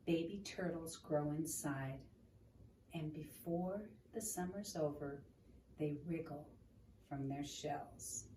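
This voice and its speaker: A woman reads aloud for children in a slow, gentle, teacherly manner. Her tone is mellow and she pauses to explain things. The recording is roomy, with some noise in the room. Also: deep voice, recorded from a distance. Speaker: children's storytime reader. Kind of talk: read-aloud picture book with explanations for a child.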